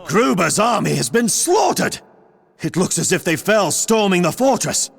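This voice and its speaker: An actor playing a righteous, vengeful noble army commander in a video game cutscene, declaiming proudly. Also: male voice, high-pitched, big pitch swings, moderate pace, gravelly, breathy edge, no echo, clean studio sound, exaggerated voice. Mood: urgent command. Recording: loud music behind the voice.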